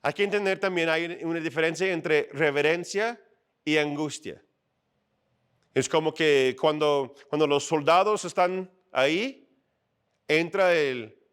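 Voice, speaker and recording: A middle-aged man preaching a sermon to his church in a chatty, animated way, acting out short scenes and checking in with his listeners. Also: fairly high pitch, unhurried, clean tone. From outside Mexico. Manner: conversational, animated, acts out little scenes and asks "¿no?". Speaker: pastor. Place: church stage.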